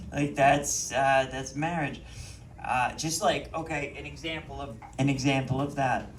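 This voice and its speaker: A man's voice: medium pitch, slow pace, slight background noise. A man with a Boston accent doing a rambling, self-mocking stand-up set on a comedy club stage, with plenty of ums. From Boston, USA. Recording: roomy, mic at a distance.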